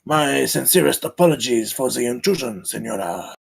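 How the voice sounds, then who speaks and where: Man acting gravelly, husky wary adventurer. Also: mid-range voice, animated, normal speed, full character voice. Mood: guarded, formal. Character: wary, formal male adventurer, urgent under threat. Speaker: table-read actor. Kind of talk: live screenplay table read.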